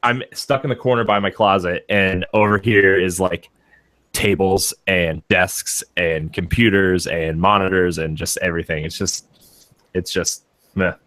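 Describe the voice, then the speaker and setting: A man's voice: sits low, steady tone, unhurried, fairly bright tone, no room sound. A man chatting casually as a podcast co-host, in an informal, rambling way. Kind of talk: casual chat.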